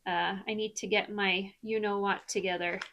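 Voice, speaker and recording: A woman in her thirties from Ireland with an Irish accent, chatting in a rambling, relaxed way with lots of ums for a knitting vlog. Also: mid-range voice, normal speed, highs cut off. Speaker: knitting vlogger. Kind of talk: chatty podcast-style vlog.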